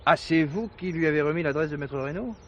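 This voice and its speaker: An actor playing a police commissioner in a 1970s radio drama, questioning witnesses with courteous insistence. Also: male voice, a little high, fast talker, a bit bright, dull audio, a little hiss or hum. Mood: suspicious.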